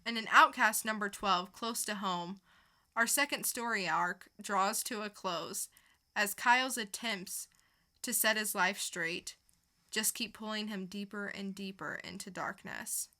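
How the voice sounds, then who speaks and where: A woman with a bright, crisp-edged voice at an average pitch. She speaks as a podcast co-host, casual and conversational as she goes through reviews. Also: unhurried. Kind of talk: casual comic book review chat.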